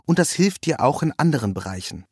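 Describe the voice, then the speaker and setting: A man's voice: on the high side, very animated, brisk, darkish tone, breathy edge, no room sound, studio quality. Man reading as self-assured thirty-plus dating-course devotee. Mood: instructive, convinced. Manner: lecturing, matter-of-fact, peppered with English jargon. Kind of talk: quoted dialogue in a read story.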